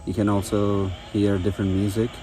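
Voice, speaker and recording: Low, mellow-voiced man reviewing a product. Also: level tone, a little fast, soft background music, rough recording. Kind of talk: casual product review, demonstrating features. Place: outdoors on a residential front porch.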